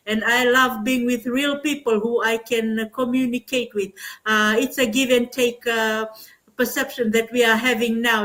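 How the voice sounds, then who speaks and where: Older lady from fiji, highish voice, keen, sharing her story on a live panel, lots of little pauses. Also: fairly bright tone. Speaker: panel speaker, business mentor. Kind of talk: informal testimonial in an online live session.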